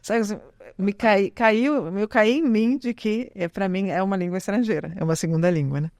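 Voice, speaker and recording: A woman's voice: medium pitch, steady tone, moderate pace, a little mellow, no echo, studio quality. An Italian-born middle-aged woman whose first language is Italian, chatting in a casual podcast interview, rambling and correcting herself often. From Italy. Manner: rambling, self-correcting, frequent "né?". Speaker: podcast guest.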